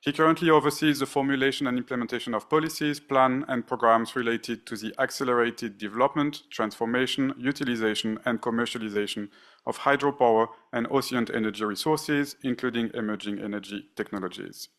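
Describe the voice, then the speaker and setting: A man's voice: mid-range voice, steady tone, a little fast, a bit bright. A man moderating a conference panel, reading out speaker introductions with frequent fillers and restarts. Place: conference stage.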